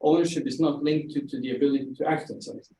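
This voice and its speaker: A man speaking on an academic panel, halting and often repeating his words while he thinks. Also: medium pitch, steady tone, warm tone. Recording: echoey room, distant mic, faint hiss.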